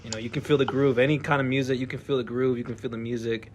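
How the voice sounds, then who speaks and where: A man hosts a casual podcast in a relaxed, slangy way. His voice sits at an ordinary pitch. He asks long, meandering questions. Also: very fast, background noise. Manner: laid-back slang, animated when quoting. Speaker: podcast host.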